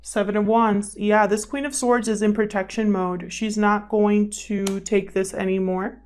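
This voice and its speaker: A woman's voice: medium pitch, flat delivery, a little slow, a little mellow. A woman giving a casual, improvised tarot reading, thinking aloud as she talks to the cards and to her viewers. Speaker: tarot reader, channel host. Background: background music.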